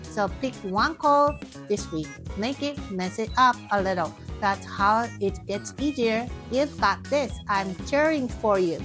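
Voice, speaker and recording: A woman's voice: fairly high pitch, expressive, measured pace, over music. A woman giving scripted language instruction in short, encouraging phrases, speaking directly to the learner as a teacher. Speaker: Japanese language teacher and host.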